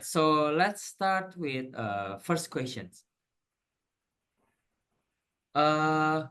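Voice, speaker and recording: A man's voice: a little high, bright, forceful tone. A man moderating a webcast panel over a video call, reading speaker introductions steadily with frequent "uh" fillers.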